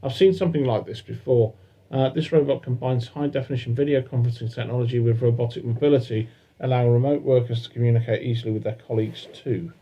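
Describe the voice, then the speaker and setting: A man co-hosting a casual chat show, reading articles aloud with hesitant pauses. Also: medium pitch, fairly even intonation, warm tone, slightly rough, muffled recording. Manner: hesitant, fillers while reading. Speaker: podcast co-host.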